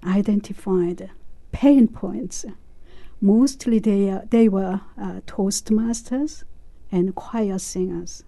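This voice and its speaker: A woman in her sixties, a club member talking about her club in an interview, speaking haltingly with many pauses. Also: mid-range voice, warm tone. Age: 60s. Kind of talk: casual interview chat.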